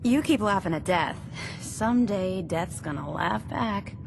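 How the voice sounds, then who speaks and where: An actress playing a loyal, sassy detective agency secretary in a video game. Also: female voice, medium pitch, lively intonation, unhurried, creaky, background noise. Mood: teasing.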